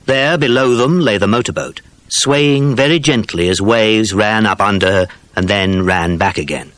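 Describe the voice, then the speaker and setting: A man narrating a children's adventure story in a measured, scripted storybook manner. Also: fairly low pitch, fairly bright tone, natural voice.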